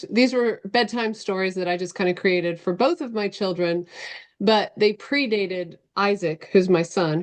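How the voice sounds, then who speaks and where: A middle-aged woman talks as a guest on a video call, her voice a little on the low side. She explains things informally, circling back and rephrasing as she goes. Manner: informal, loosely structured, self-correcting. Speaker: interview guest, novelist. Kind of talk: casual interview answer. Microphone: video call microphone.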